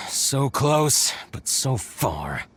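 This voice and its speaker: An actor voicing a glum, defeated contestant in a video game, with a gravelly voice. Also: male voice, on the low side, sing-song, very slow, a bit bright, faint music behind, heavily acted. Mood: dejected.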